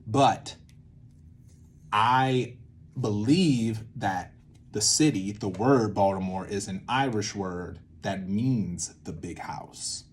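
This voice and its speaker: Low-voiced man musing aloud, noisy room. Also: takes it slowly. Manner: rambling, self-interrupting, musing aloud. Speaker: creative methods teacher and playwright. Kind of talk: informal teaching at a whiteboard, thinking aloud.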